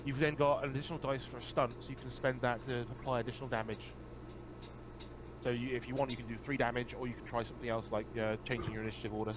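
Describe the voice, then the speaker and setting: A man's voice: medium pitch, level tone, fast talker. A man playing a tabletop game, casually explaining the rules step by step and repeating his phrases. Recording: clear background noise on voice call.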